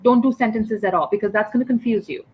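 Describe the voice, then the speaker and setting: A woman's voice: on the high side, fast, husky. A woman from Pakistan tutoring English one-on-one, patiently explaining step by step and often checking with "right?". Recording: muffled lo-fi call audio.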